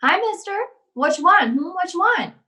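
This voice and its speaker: A woman's voice: very high-pitched, expressive, measured pace, pushed and bright, recorded from a distance, exaggerated voice. A woman voicing a shrewd, cheeky little neighbourhood girl in a vintage radio comedy, recorded slightly away from the mic. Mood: coy, innocent.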